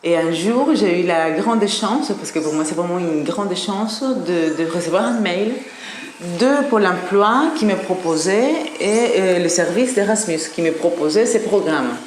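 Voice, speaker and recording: Casting: Colombian woman around forty, Spanish-accented, low register, testimonial delivery, echoey room with faint noise. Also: a little slow. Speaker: programme participant, gallery owner. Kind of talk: personal testimonial. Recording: roomy echo, faint background noise.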